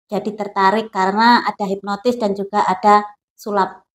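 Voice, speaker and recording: A woman from East Java answering interview questions with short, plain replies and soft affirmations. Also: medium pitch, little pitch movement, normal speed. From East Java, Indonesia. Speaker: guest, hypnosis alumna.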